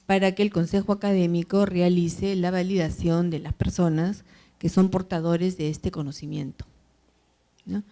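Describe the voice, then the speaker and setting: A woman's voice: on the low side, little pitch movement, moderate pace, mellow. A woman answering questions on a panel, hesitant, with many fillers and tag questions. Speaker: panelist, university program organizer.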